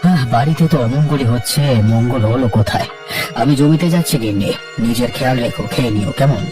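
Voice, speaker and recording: A man's voice: medium pitch, quick pace, lightly acted. A man plays an aging cartoon farmer, a resigned and caring father. He speaks earnestly and sadly, slightly distant from the mic with music underneath. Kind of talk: cartoon dialogue acting.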